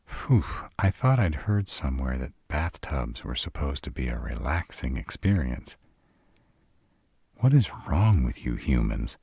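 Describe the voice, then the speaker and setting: Sardonic male vampire , played by a man for roleplay audio: husky, slow-paced, dramatic and grumpy, recorded close and dry. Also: rumbling low, sing-song, darkish tone, dry recording, exaggerated voice. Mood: dry, sarcastic. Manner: sarcastic, grumbling, dramatic. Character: sarcastic irritable male vampire, stranded in a human's home.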